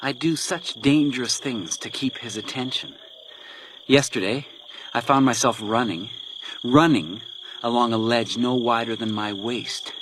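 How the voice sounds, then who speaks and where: A man's voice: mid-range voice, lightly acted. An actor playing a menacing, vengeful man with a taunting, theatrical delivery in a detective radio drama. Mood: bitter, mocking. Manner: taunting, theatrical, deliberate when reading letters aloud. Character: menacing vengeful man, grieving brother, cruelly mocking and taunting. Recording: noisy night outdoor ambience with insects.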